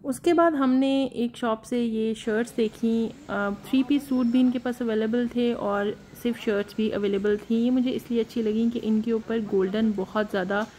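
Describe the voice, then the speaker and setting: A woman narrating a shopping vlog in a relaxed, chatty way, often pausing on filler sounds and slipping in English phrases. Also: a little high, a little hiss or hum, rough recording. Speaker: shopping vlogger.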